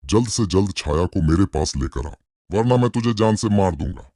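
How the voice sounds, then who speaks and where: A man's voice: low-pitched, animated, normal speed, dark tone, dry recording, studio quality. A man playing a dangerous, threatening ghost in a dramatized moral story. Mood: menacing. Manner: threatening, commanding. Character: dangerous, menacing male ghost guarding his garden.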